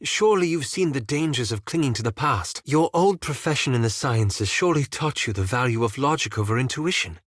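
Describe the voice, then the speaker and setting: A scholarly male wizard from a fantasy video game, with a mid-range voice. He speaks formally and deliberately, earnest and a little self-doubting. The recording is close and dry, with no room sound. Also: medium pitch, animated, fairly bright tone, no echo. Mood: concerned, formal. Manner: formal, measured, hesitant, thoughtful phrasing. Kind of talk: video game voice acting, dialogue lines.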